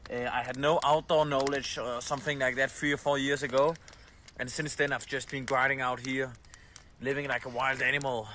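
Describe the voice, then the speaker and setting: A man's voice: mid-range voice, little pitch movement, brisk, pushed and bright, a little hiss or hum. A man from Denmark, speaking in an earnest vlog testimonial, plain-spoken with small hesitations. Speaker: outdoor traveler in a clip.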